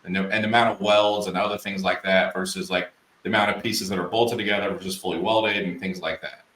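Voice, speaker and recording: Lowish male podcast host, halting questions full of fillers, echoey room. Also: steady tone, fairly bright tone. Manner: hesitant, many fillers. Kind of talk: casual interview.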